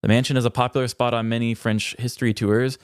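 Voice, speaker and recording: A man's voice: mid-range voice, little pitch movement, quick pace, clear, resonant tone, no echo. A man chats casually as a podcast co-host. His voice is mid-range and recorded dry, with very little room sound. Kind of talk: casual chat.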